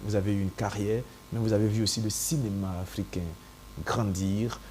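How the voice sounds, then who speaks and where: Low-voiced male TV interviewer. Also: little pitch movement, normal speed, a little mellow. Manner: brief affirming interjections, longer formal questions. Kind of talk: interview questions and short acknowledgments. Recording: faint background hum.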